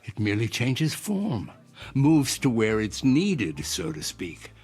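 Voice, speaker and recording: An actor playing an eccentric older inventor in an audio drama, speaking in a formal, measured, philosophical and persuasive way. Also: male voice, medium pitch, huge pitch swings, darkish tone, slightly rough, full character voice. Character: eccentric old inventor, courteous, cryptic, philosophical stranger.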